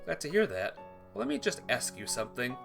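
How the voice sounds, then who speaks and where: A man's voice: mid-range voice, huge pitch swings, fairly quick, creaky, over music. A man voicing an earnest, anxious schoolboy protagonist from a visual novel, questioning and hesitant. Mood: concerned. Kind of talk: visual novel character reading.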